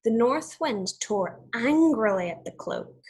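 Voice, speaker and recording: A woman teacher reading a story to children in an expressive, deliberate way, pausing to explain and ask questions. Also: fairly high pitch, breathy edge.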